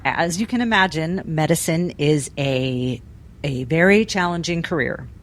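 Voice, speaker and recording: A woman doing in-character tabletop role-play drama in a measured, deliberate, restrained way. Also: sits low, lively intonation. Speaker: role-play game player.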